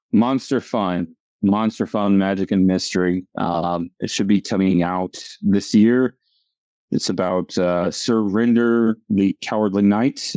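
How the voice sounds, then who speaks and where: Low-voiced artist dude, stumbles and repeats words a lot, warm tone. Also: male voice, dry recording. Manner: halting, stumbling over words. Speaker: comic strip artist. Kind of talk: casual chat over a video call.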